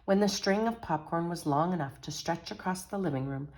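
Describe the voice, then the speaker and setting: A woman storyteller with a low-pitched voice. She reads a children's picture book aloud expressively, in a teacherly manner. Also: fairly quick, a little mellow.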